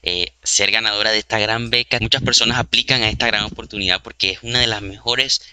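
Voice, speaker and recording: A man's voice: fairly low pitch, steady tone, fast, sharp tone, a little hiss or hum. A man in his twenties hosting an online interview in Spanish, speaking into a headset. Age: 20s. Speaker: host interviewer. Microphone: headset on video call.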